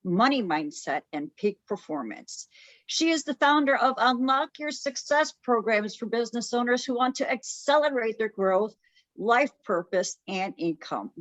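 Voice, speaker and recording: Higher-voiced woman co-host, dry sound. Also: fairly bright tone, no room sound. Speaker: podcast co-host. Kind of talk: reading a guest introduction.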